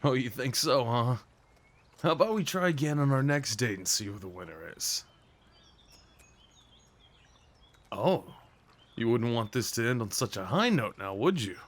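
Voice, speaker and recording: A man acting a big, sweet, slightly nervous date in an ASMR roleplay, with playful teasing, boyish enthusiasm and soft hesitations. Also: medium pitch, sing-song, moderate pace. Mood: mock indignant. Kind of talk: ASMR roleplay audio drama, one-sided conversation.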